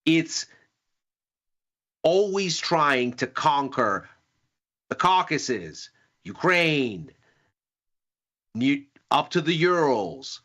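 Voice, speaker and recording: A man's voice: on the high side, animated, extremely slow, long pauses, fairly bright tone. A man on a casual talk panel, explaining a point at length in a thoughtful way. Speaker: panel guest.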